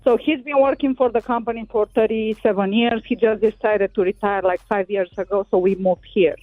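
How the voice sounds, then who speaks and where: A woman's voice: mid-range voice, steady tone, fairly quick, dry recording. Romanian-accented woman caller on a phone line. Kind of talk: phoned-in story.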